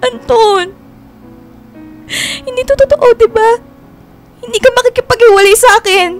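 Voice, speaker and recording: A woman's voice: extremely high pitch, fairly even intonation, moderate pace. An actress playing a devoted, clingy young girlfriend in a radio drama, emotional and insistent in her pleading. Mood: tearful pleading. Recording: music bed under the voice.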